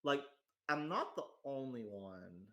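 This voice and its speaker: A man's voice: medium pitch, lively intonation, slow pace, sharp tone. A man in his twenties talking in a rambling, self-deprecating casual chat at home, with lots of restarts and fillers. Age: 20s. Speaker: host. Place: home in a rural area.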